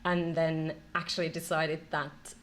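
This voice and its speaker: A middle-aged woman from Finland, a company director being interviewed, speaking in a measured way with many "um"s between phrases. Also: sits low, level tone, a bit bright, a little hiss or hum. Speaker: marketing and e-commerce director, guest. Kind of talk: casual interview.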